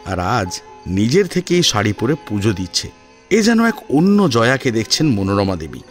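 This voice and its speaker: A man narrating a scripted audio drama in an expressive storytelling style. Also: on the low side, normal speed, faint music behind.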